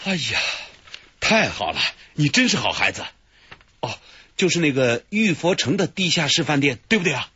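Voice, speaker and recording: An actor playing a cheerful, boastful detective leading a stakeout in a radio drama, with a husky voice. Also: male voice, on the high side, big pitch swings, moderate pace, bright, forceful tone, muffled recording, exaggerated voice. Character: cheerful, boastful middle-aged male police detective. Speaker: police detective leading the operation. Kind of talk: broadcast radio drama acting.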